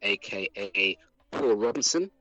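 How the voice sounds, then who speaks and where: A middle-aged man with a South London accent talks through his memories at an easy pace, coming back to the same phrases as he goes. His tone is bright and forceful, with faint music in the background. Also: lowish voice, expressive, no room sound, poor audio. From England. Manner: reflective, unhurried storytelling with repeated phrases. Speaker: guest singer. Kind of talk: relaxed interview talk.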